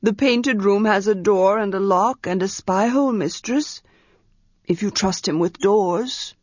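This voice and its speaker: A woman's voice: medium pitch, darkish tone, no echo, dull audio, lightly acted. Woman voicing a fretful old manservant. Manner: plaintive, slow and wheezy. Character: old male servant, large, anxious and fussing. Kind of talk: audiobook character voice.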